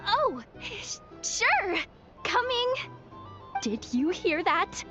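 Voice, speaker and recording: An energetic young woman from a video game with a very high, animated voice. She is bubbly and friendly. The sound is a little dull, with music underneath. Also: very slow, heavily acted. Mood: cheery. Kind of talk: casual game dialogue.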